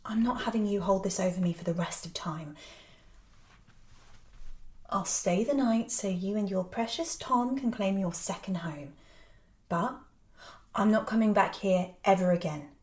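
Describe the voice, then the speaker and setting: A woman's voice: medium pitch, measured pace, long pauses, darkish tone, lightly acted. An actress playing a brash, rebellious, sardonic sister in an audio drama, taunting and swearing as she talks. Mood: angry, defiant. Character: brash, mocking, rebellious estranged sister, hard-drinking.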